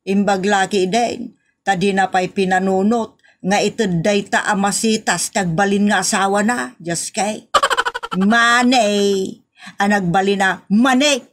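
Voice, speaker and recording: A woman's voice: medium pitch, fairly even intonation, a little slow, a little hiss or hum, close to an everyday voice. A woman with an Ilocano Filipino accent acting a nagging, exasperated rural wife and mother in a comedy audio drama, complaining in exclamations. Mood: reflective.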